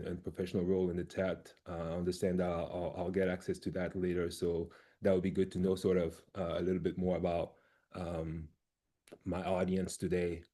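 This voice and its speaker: A man from Cameroon who directs a research lab, presenting on a video call and speaking haltingly, with many fillers and restarts. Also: low-pitched, mellow.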